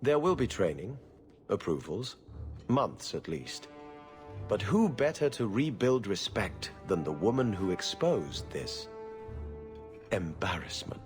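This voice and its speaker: A pompous city official in a fantasy game. He has a deep voice with animated swings and a slow, formal delivery. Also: music in the background. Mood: authoritative, approving. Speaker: government official. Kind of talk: dramatic game cutscene acting.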